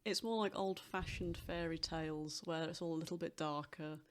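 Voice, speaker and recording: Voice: mid-range voice, steady tone, moderate pace, slight background noise. A podcast co-host chatting casually and explaining things to the others. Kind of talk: casual chat.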